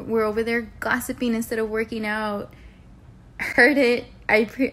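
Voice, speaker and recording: A woman in her twenties telling a personal story to camera in a casual, candid way with emphatic, slangy delivery. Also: fairly high pitch. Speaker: vlogger. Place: indoors at home on a couch.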